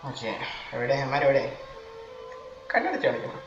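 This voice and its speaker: A man's voice: medium pitch, huge pitch swings, rapid-fire, lo-fi sound. A man with a Malayalam accent giving casual gameplay commentary as a streamer. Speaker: gaming streamer. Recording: echoey room, game music behind. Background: game dialogue and music.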